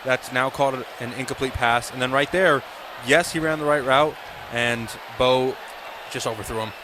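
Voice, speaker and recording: A man's voice: medium pitch, fairly even intonation, normal speed. An American man giving emphatic, opinionated color commentary during a live football radio broadcast. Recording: loud stadium and outdoor ambient noise.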